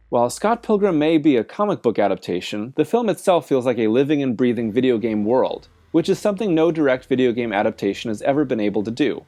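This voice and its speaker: Man narrating a video essay, lively intonation. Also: mid-range voice. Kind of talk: scripted film essay narration. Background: background music.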